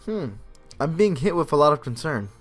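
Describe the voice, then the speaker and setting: A man's voice: a little high, big pitch swings, moderate pace. A young man hosting a let's play, commenting casually in a rambling, self-deprecating way. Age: young adult. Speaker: gaming video host. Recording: faint game music behind voice. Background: game music.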